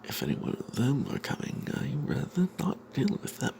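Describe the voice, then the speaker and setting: Breathy whispering man with gravelly fry. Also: mid-range voice, wildly swooping pitch, moderate pace, noisy background. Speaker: narrator of a fantasy tabletop tale. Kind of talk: whispered ASMR storytelling.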